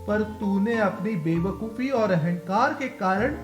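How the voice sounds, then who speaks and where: A man's voice: high-pitched, lively intonation, slow pace, reverberant, soft background music, voice pushed up. A man voicing an angry, scolding mother lapwing in a children's fable. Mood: furious. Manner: scolding, indignant. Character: angry female lapwing bird scolding her mate.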